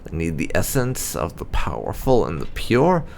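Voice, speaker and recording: A man's voice: deep voice, wildly swooping pitch, normal speed, gravelly, slight background noise, heavily acted. Man playing a vain obsessive enchanter, swooping delivery. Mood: obsessed ambition. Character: vain, obsessive enchanter scholar, gloating and furious.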